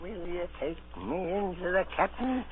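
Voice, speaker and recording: An actor playing a sinister, soft-spoken blind old mariner in a radio drama, an unctuous beggar with cruelty underneath. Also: male voice, sits high, moderate pace, phone-quality sound, lightly acted. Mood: menacing. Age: older. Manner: unctuous begging turning to menace. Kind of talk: 1930s radio acting. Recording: thin, noisy old radio recording.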